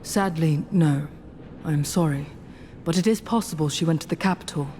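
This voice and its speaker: A woman playing a solemn, earnest heroine in a fantasy game, speaking in a measured, serious way. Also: low voice, dark tone, slight background noise, close to an everyday voice. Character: solemn gauntlet-wielding heroine, earnest and steady. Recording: music behind.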